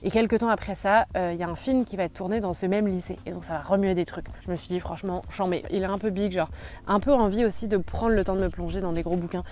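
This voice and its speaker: A woman's voice: mid-range voice, fairly even intonation, fast, darkish tone, a little hiss or hum. A young woman chatting casually in a book vlog, rambling with many fillers. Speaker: book YouTuber.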